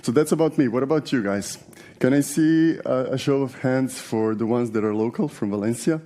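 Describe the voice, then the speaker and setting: A man's voice: medium pitch, normal speed, mellow. A man in his forties from Serbia, giving a conversational conference talk with many fillers and restarts. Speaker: conference speaker. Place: large conference hall.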